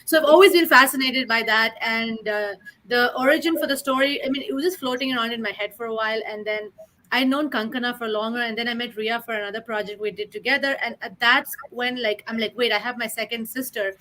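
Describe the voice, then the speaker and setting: A woman's voice: a little high, quick pace, pushed and bright, poor audio. A young woman, a filmmaker from India, answering interview questions in a chatty way full of fillers. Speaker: filmmaker and writer.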